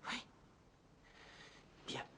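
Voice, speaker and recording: An actor playing an irritable, controlling writer who speaks in clipped, condescending, emphatic phrases on a noisy film track. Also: male voice, extremely slow, pushed and bright, exaggerated voice. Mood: seething, sarcastic. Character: irritable controlling male writer, bitterly sarcastic, simmering with rage.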